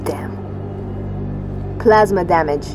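Dry, low-voiced female soldier. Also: takes it slowly. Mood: dry, curt. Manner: dry, curt, confident. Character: brisk, sardonic female soldier and tech expert. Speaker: squad technical specialist. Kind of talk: cinematic game dialogue. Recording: clear music behind voice.

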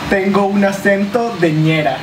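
A woman's voice: mid-range voice, moderate pace. Young woman vlogger chatting from afar. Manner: chatty, laughing, bilingual asides. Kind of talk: casual chat, party game. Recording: echoey room, distant mic, loud background noise.

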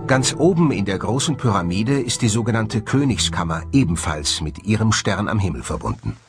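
A man presenting a documentary in scripted narration, with measured, rhetorical, emphatic phrasing. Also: lowish voice, big pitch swings, over music.

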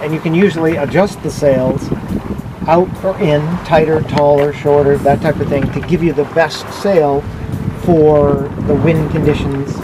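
Older captain, fairly high voice, windy deck. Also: male voice. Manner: matter-of-fact, practical explanations. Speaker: sailboat captain. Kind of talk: casual instruction interview. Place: outdoors on a sailboat deck. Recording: wind and boat noise outdoors.

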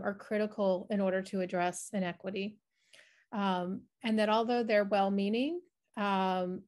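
A woman's voice: medium pitch, a little mellow. A middle-aged woman, a researcher explaining her work in an online presentation over a video call. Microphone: video call, webcam framing.

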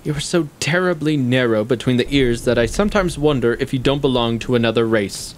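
An actor playing a shrewd, needling intellectual in a stage play, speaking deliberately in formal, articulate, condescending sentences. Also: male voice, mid-range voice, noisy background, natural voice. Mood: calm, needling. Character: shrewd, probing male intellectual, coolly needling his guest. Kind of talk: stage play acting, long monologues.

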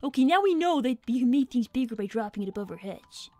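A woman's voice: fairly high pitch, lively intonation, fast, darkish tone, soft background music, close to an everyday voice. A woman voicing an earnest, clever young boy fox who chats candidly while thinking aloud on a gaming livestream. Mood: excited. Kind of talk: in-character gaming livestream chat.

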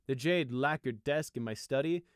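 A man's voice: mid-range voice, moderate pace, clear, resonant tone, dry recording, studio quality, voice pushed up, natural voice. A man voicing a wealthy, boastful male unicorn noble from a story, with an airy, self-satisfied way of drawing out his words.

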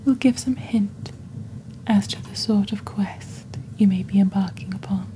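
A woman playing a calm, mysterious witch presenting a shopping channel in soft, breathy, near-whispered ASMR, with slow and deliberate phrasing, close to the microphone. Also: medium pitch, close to an everyday voice, rough recording. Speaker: host of an ASMR roleplay channel. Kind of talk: soft-spoken ASMR scripted product presentation. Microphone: close desk microphone.

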